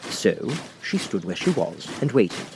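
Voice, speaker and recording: A man reading a children's audiobook as narrator, in an expressive storytelling style. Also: on the low side, a little slow, background noise. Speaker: audiobook reader. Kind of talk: animated scripted storybook narration.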